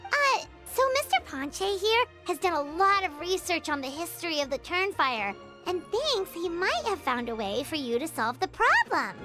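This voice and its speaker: A woman voicing a tiny, chirpy, childlike fairy companion in a video game, polite and squeaky. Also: big pitch swings, moderate pace, over music. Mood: surprised, explaining.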